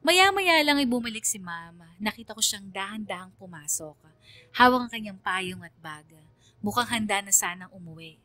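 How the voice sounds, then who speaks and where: A woman playing a quiet, grateful young student, narrating her own story in an earnest, reflective, sentimental radio drama style. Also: mid-range voice. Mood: reflective, tender. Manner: earnest, reflective, deliberate delivery.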